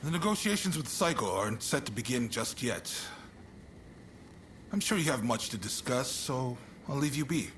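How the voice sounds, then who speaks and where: An actor playing a composed, resolute samurai-era negotiator in a video game, speaking in a measured, assured way. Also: male voice, mid-range voice, very animated, a bit bright, slight background noise. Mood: resolute, calm. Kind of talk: dramatic video game cutscene acting.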